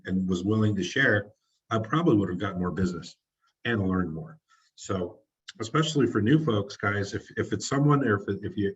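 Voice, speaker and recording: A man with a fairly low, husky voice leads a group training for real estate agents. He talks in an encouraging, loose way, restarting his sentences. The recording sounds dull and lo-fi. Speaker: real estate team leader running a training. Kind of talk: motivational group coaching.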